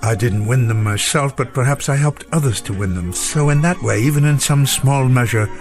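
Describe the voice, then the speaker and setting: An actor playing an elderly professor, formal, gentle and reflective, in a radio drama. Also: male voice, medium pitch, moderate pace, close to an everyday voice. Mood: wistful, content. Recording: faint music behind the voice.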